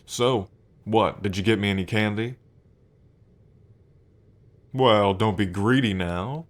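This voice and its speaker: A man's voice: on the low side, close to an everyday voice. A man playing a smug, teasing demon prince of pride in an ASMR roleplay, drawling and playfully taunting the listener.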